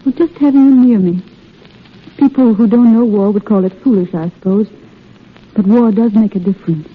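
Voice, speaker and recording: An actress playing a guarded, wistful young wartime nurse falling in love, in 1930s radio drama style. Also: female voice, mid-range voice, normal speed, breathy edge, natural voice. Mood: guarded, curious. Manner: hesitant, wistful, earnest. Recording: muffled tinny old radio recording, faint music.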